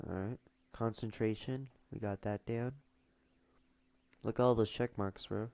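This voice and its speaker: A man's voice: fairly low pitch, steady tone, a little slow, creaky, darkish tone. A teenage boy streaming casually, mumbling and trailing off with fillers as he reads questions aloud. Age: teens. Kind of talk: casual livestream chat.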